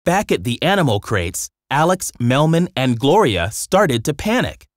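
A storybook narrator reading a scripted children's tale in an expressive, dramatic way. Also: medium pitch, unhurried, no room sound, studio quality.

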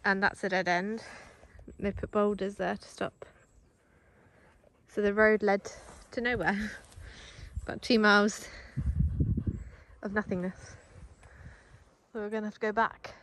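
A woman vlogging casually while riding an electric bike along a forest track, chatting to the camera and coaxing her dogs along in a high sing-song voice. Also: fairly even intonation, measured pace, long pauses, a bit bright.

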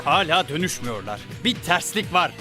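An actor playing a cold, scheming villain prince in a dubbed cartoon, measured and menacing. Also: male voice, on the high side, sing-song. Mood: cold, calculating. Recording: loud music behind the voice.

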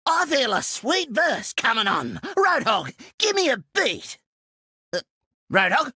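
Video game: giddy, scatterbrained Australian junkyard bomber, shrill and cackling, wildly swooping pitch, dry studio sound. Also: male voice, very animated, slow, sharp tone, husky, no room sound, full character voice. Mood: manic glee. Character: manic, cackling young male junkyard demolitions maniac. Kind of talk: video game character voice lines.

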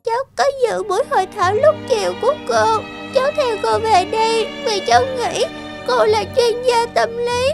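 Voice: very high voice, normal speed, vocal fry. A performer voicing a distressed young girl pleading about her family in a cartoon dub. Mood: anxious pleading. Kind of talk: cartoon dubbing. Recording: loud children's music behind the voice.

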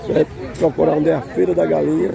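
A man's voice: a little high, level tone, fast talker, creaky, a crowd in the background. An older man, chatting casually to viewers while he drives and walks around a market. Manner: chatty, points things out to viewers. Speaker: vlogger. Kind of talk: casual vlog narration. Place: in a car and at an outdoor street market.

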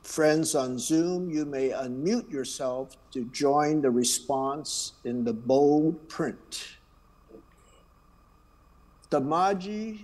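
A man speaks slowly from the front of a church sanctuary, reading announcements and leading the call to worship. His voice sits a little high for a man, and his manner is unhurried and friendly, with small asides. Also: darkish tone. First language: Chinese.

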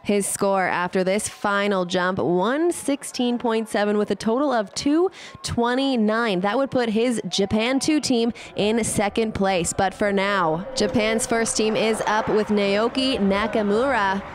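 Female sports commentator for a scripted highlights voice-over, with noticeable background event noise. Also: a little slow. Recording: clear ambient event noise behind voice.

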